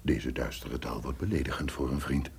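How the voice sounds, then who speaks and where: An actor playing a scheming, suspicious conspirator who feigns friendship, with formal theatrical delivery in a gothic radio drama. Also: male voice, rumbling low, fairly even intonation, moderate pace, darkish tone, exaggerated voice. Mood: guarded. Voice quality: gravelly, husky. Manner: formal, theatrical, breaking into tense muttering.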